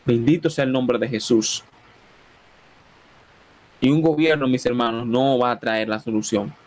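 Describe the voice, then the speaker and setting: A man's voice: medium pitch, steady tone, darkish tone, poor audio. A man from the Dominican Republic with a Dominican Spanish accent, hosting a radio program and preaching a sermon with a closing prayer. He speaks fervently, with frequent exclamations of amen and praise. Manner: leads a repeat-after-me prayer in a slow, solemn cadence.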